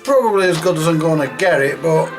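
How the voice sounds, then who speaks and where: A man's voice: fairly high pitch, quick pace, music in the background. A man giving casual, off-the-cuff commentary as he presents his radio repair, chatting informally to viewers.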